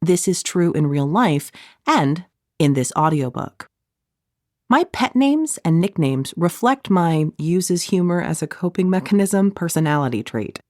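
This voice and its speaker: A middle-aged woman reading her own audiobook in a conversational, snarky way, with playful deadpan asides. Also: fairly low pitch, measured pace, no echo, studio quality. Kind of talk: scripted audiobook narration, conversational.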